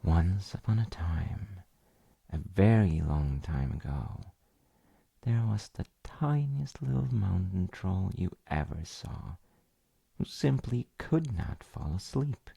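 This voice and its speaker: A man playing a tender dad who reads a bedtime fairy tale in a gentle, measured storybook style. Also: low-pitched, lively intonation, creaky, breathy edge, exaggerated voice. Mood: gentle, storytelling.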